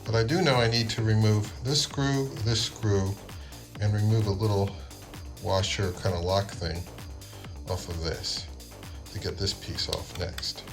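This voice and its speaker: A man narrating a casual hands-on how-to, unhurried and thinking aloud as he works. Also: lowish voice, steady tone, over music. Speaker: repair tutorial presenter.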